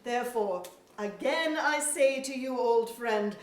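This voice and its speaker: A woman's voice: a little high, unhurried, lightly acted. A woman playing a proud, weary fairy king in a staged reading of a verse drama, declaiming formally. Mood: grave, aggrieved. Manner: formal, declamatory, archaic phrasing. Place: museum auditorium stage. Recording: echoey auditorium, recorded from far off.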